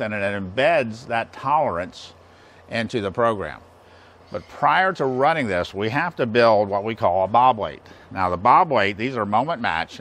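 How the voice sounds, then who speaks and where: Low-voiced older American man over shop noise. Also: a bit bright. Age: 60s. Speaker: instructor demonstrating engine balancing equipment. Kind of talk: technical demonstration, explanatory. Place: large indoor machine shop. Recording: clear background noise, workshop ambience.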